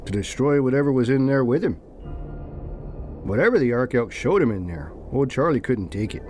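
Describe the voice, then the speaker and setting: A man's voice: mid-range voice, very animated, moderate pace, darkish tone, soft background music, lightly acted. An actor playing a somber, plain-spoken, folksy man in an audio drama. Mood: grave, reflective. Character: somber, folksy man soberly explaining a tragedy.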